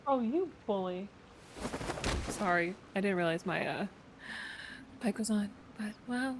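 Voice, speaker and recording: A woman's voice: mid-range voice, expressive, measured pace, background noise. A woman streaming a game, reacting casually to what happens on screen. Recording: stream mic over loud game music.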